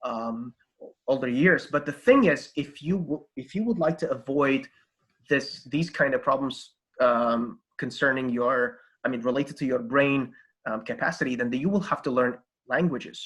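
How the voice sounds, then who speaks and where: A man's voice: mid-range voice, moderate pace, fairly bright tone, breathy edge. A middle-aged man speaking as a podcast guest and teacher, explaining in an emphatic, conversational way with repeated phrases.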